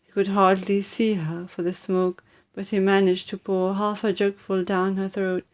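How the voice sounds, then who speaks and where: A woman reading a children's story aloud in a deliberate, dramatic and expressive narrating style. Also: mid-range voice. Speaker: audiobook reader.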